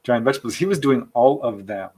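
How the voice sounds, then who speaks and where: A man's voice: medium pitch, lively intonation, quick pace, a crowd in the background. A man hosting an informal, chatty live question-and-answer session, reading out audience questions. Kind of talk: casual live Q&A chat.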